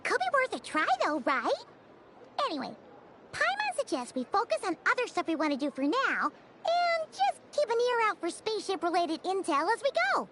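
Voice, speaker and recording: Tiny game fairy sidekick: squeaky-high, cute, chatty, very animated delivery. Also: female voice, normal speed, noisy background, heavily acted. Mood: chatty, upbeat. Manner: perky, chatty, refers to self in third person. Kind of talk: animated video game voice acting.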